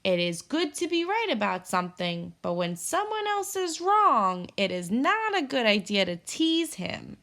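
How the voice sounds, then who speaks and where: An old grandmother squirrel from a storybook played by a woman, wise and protective. Her speech is emphatic and rises high. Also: very animated, unhurried, few pauses, fairly bright tone, heavily acted. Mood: admonishing. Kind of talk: storybook character voice.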